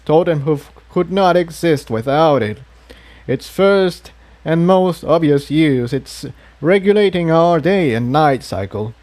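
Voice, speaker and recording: A man voicing a kindly old unicorn priest who explains things in an earnest, measured and solemn way for a storybook reading. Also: a little high, darkish tone, pitched above the performer's own voice.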